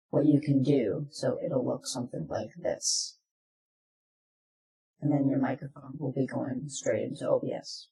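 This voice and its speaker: A presenter narrating a software tutorial in plain, step-by-step instructions, recorded in an echoey room. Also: mid-range voice, creaky.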